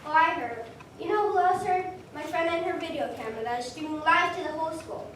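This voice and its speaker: A woman playing an earnest, plucky teenage schoolgirl with expressive stage delivery in a reader's theater performance. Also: very high voice, a little fast. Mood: sly, triumphant. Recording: distant mic in echoey room, faint noise.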